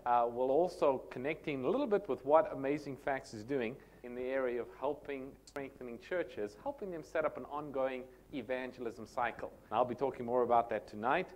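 A man's voice: medium pitch, brisk, hardly any pauses, darkish tone. A man in his thirties from South Africa, with a South African accent, speaking as a guest pastor in a friendly, joking, conversational way into a handheld microphone in a church hall. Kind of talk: sermon introduction.

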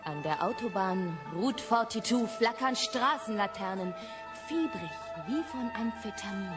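An actress playing a fiery, dramatic young actress in a German radio crime drama. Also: female voice, medium pitch, animated, unhurried, lightly acted. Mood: brooding, poetic. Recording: studio radio play with music behind.

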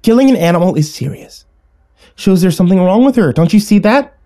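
A cold, bitter mother as a man acts her out in a narrated horror story. The pitch is lifted, and the delivery is scornful, insistent and accusing. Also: wildly swooping pitch, measured pace, dark tone, heavily acted. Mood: scornful, exasperated.

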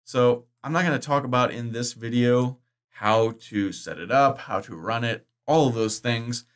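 A middle-aged man vlogging about course-making software, chatty and enthusiastic, his voice bass-boosted with the breaths filtered out. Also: a little slow. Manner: chatty, enthusiastic, loose with fillers and restarts. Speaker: online course creator. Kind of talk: casual explanatory vlog. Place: indoors, green-screen studio.

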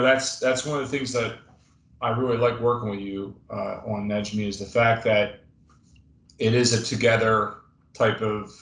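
A man's voice: on the low side, a bit bright. Man, 50s, friendly rambling podcast host on a webcam call, echoey home office. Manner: friendly, rambling, lots of fillers and restarts. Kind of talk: casual interview chat. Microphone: webcam on video call. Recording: roomy video call audio.

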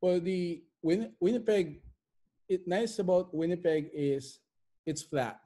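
Male interview guest, middle-aged, somewhat high and mellow voice, emphatic repetition, conversational. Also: takes it slowly. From immigrant to Canada. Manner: emphatic, repeats phrases for effect. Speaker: interview guest, real estate investor. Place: bright living room at home.

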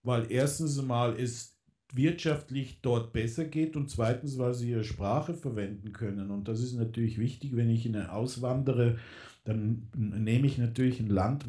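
Rambling man, dark mellow voice. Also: mid-range voice, a little slow. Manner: rambling, many fillers and restarts. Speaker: guest. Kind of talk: casual political radio talk.

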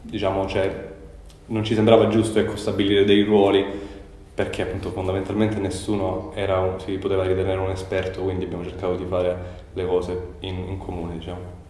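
A young man in his twenties speaks low and level, with little rise or fall in his voice. He answers casually but hesitantly, pausing on fillers and restarting phrases, and the bare room around him rings with heavy echo. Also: completely flat delivery, a little mellow, a little hiss or hum. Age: 20s. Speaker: young filmmaker interviewee.

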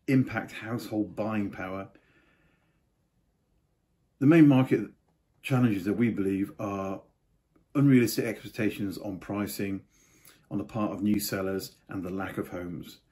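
A man's voice: fairly low pitch, mellow. An older man giving a casual market update to camera from a home study, with offhand asides. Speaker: property market commentator.

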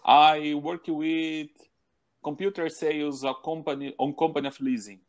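A young Brazilian man with a Portuguese accent, speaking halting beginner English in an online video-call lesson through earphones. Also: a little high, level tone. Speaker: English learner. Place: small room at home. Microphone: earphone headset on video call.

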